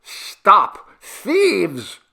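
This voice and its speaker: A man performs an enraged village hothead in a storybook, bellowing alarms and threats. The pitch is pushed well up, and the tone is piercingly sharp and forceful. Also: huge pitch swings, drawn-out words, creaky, slightly rough, heavily acted. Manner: shouting, aggressive. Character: furious male villager raising the alarm, hot-headed avenger. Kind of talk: audiobook story acting.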